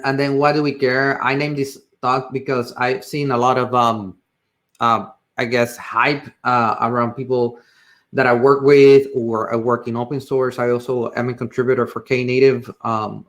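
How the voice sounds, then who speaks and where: A man with a Puerto Rican accent, a native Spanish speaker, gives an informal technical presentation in halting, hesitant phrases. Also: mid-range voice, fairly bright tone. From Puerto Rico. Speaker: tech presenter.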